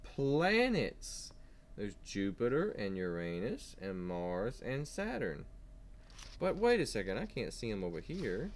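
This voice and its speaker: A man teaching kindergarteners with an animated read-aloud, asking simple questions as he goes. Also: on the low side, a little slow, slight background noise. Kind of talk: read-aloud lesson for young children.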